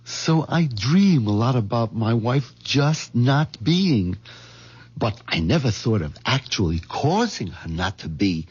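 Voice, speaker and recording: Meek hen-pecked husband, vintage radio. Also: male voice, mid-range voice, big pitch swings, slow pace, husky, exaggerated voice. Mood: rueful, confiding. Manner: hesitant, put-upon. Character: meek, hen-pecked middle-aged husband, wistful dreamer. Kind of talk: 1970s radio drama acting with confiding narration. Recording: dull vintage radio recording.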